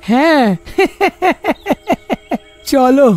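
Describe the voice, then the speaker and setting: Man acting high-pitched, slow-drawling brash villager. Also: fairly even intonation, darkish tone, faint music behind, heavily acted. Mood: mocking, boastful. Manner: drawling, exclamatory, repeats himself. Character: brash, bantering village man in a fairy-tale cartoon.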